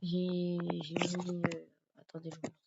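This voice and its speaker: A man's voice: high voice, completely flat delivery, measured pace, heavy background noise. A man with a cold and a sore throat, rambling hesitantly through casual commentary over his gameplay. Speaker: gaming YouTuber. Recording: dull lo-fi recording.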